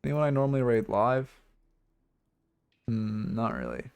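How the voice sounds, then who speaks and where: A hoarse man giving blunt, rambling game coaching notes on a livestream, full of fillers and restarts. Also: mid-range voice, big pitch swings, measured pace, no room sound. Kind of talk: casual livestream coaching talk.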